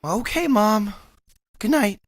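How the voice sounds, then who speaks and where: A woman's voice: mid-range voice, expressive. A woman playing a nervous teenage boy hurrying his date along in an amateur vintage radio play. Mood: sheepish. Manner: hurried, pushy and jittery.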